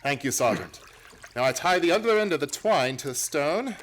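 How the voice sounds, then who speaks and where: An actor playing a brisk, self-assured gentleman detective in an old-time radio play, theatrical and precise in his formal explanations. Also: a little high, animated, a little hiss or hum, lightly acted. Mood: brisk, commanding.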